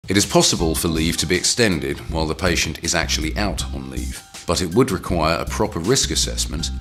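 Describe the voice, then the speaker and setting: A man reading scripted narration for a training film in a measured, formal manner. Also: a bass voice, lively intonation, a bit bright, soft background music.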